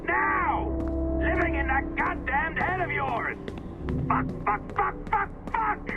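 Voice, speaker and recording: A man's voice: very high voice, lively intonation, slow pace, exaggerated voice. A man playing a scheming, volatile tech insider in a video game. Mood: frantic, enraged. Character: scheming, unhinged male tech insider, smug then frantic. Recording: muffled sound under loud music.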